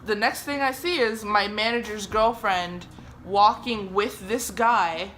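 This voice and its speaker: A woman's voice: medium pitch, takes it slowly, faint music behind, poor audio. A young woman from Germany telling a story in a casual, chatty way, swearing often. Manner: chatty, swears often, lively re-enacted quotes.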